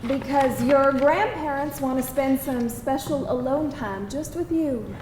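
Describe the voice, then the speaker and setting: A fairly high voice of a woman acting a troubled young mother in a dramatic reading. The room is echoey and there is clear background noise. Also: measured pace, darkish tone. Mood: gently firm. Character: troubled mother in her thirties, speaking to family. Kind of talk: live screenplay table read. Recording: echoey, noisy live stage reading.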